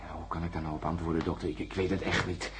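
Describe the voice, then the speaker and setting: Questioned astronaut, radio drama. Lowish voice, unsure, halting delivery, faint music behind. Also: male voice, level tone. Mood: hesitant, unsure. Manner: halting, groping for words. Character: hesitant, uncertain male astronaut being questioned.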